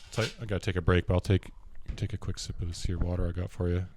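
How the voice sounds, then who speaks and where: A young man with a deep voice, a podcast host telling a rambling story in casual chat and laughing often. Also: steady tone, fast, a little mellow.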